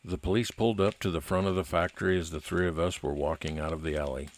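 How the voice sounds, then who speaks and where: A man playing a level-headed, determined 1950s private investigator, narrating in a measured old-time radio detective style. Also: low-pitched, fairly even intonation, slight background noise. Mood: measured, resolute.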